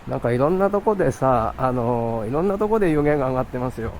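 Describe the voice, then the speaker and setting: A man's voice: medium pitch, animated, darkish tone, slight background noise, poor audio. A man from Japan with a Japanese accent, a native Japanese speaker, chatting and narrating casually as a travel vlogger. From Toyama, Japan. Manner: halting, searching for words in English. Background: music.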